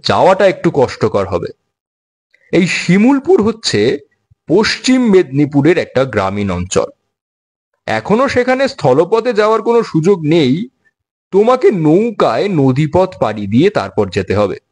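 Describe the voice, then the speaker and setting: A man playing a brisk, talkative office boss giving instructions in a dramatized audio story. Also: a little high, huge pitch swings, darkish tone, breathy edge, exaggerated voice. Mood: instructing.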